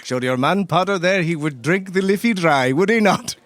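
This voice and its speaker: A man plays an eighty-year-old Irish pub regular, toothless and roguish. His folksy lilt swings widely in pitch, sitting a little high. The recording is close and dry, made for an improvised comedy game. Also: expressive, moderate pace, fairly bright tone, no echo. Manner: theatrical, lilting, folksy turns of phrase.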